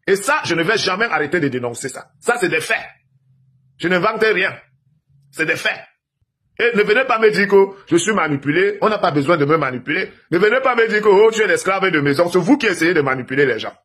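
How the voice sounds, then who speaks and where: Husky Cameroonian man lecturing viewers. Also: fairly high pitch, fairly bright tone. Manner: emphatic, lecturing, rhetorical questions to viewers. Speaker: political commentator. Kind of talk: direct-to-camera political monologue.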